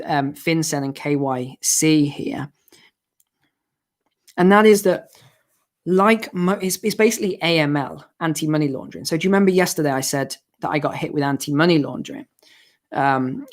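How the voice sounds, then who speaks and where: Hoarse man hosting a finance Q&A. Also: a little high. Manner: explanatory, chatty, with restarts and asides. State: hoarse, losing his voice. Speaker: finance channel host. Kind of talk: live-stream Q&A, answering viewer questions.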